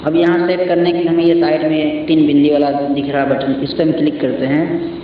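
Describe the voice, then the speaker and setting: A man's voice: a little high, little pitch movement, a little fast. A man hosting a casual step-by-step phone app tutorial, often repeating little check-in phrases as he explains. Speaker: tutorial host. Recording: echoey, muffled, distant mic, noisy background.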